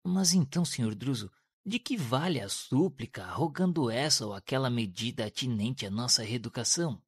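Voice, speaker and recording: A man's voice: mid-range voice, animated, moderate pace, slightly rough, dry recording, clean studio sound. An actor playing a respectful male spirit disciple who asks his teacher earnest questions. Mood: earnest questioning. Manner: respectful, inquisitive. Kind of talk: radio drama acting.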